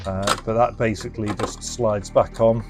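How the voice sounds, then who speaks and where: A man with a fairly low voice presents a casual hands-on demonstration. He explains things informally, thinking aloud as he works through each step. Some music can be heard with his voice. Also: steady tone, normal speed. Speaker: presenter and reseller of restored retro electronics.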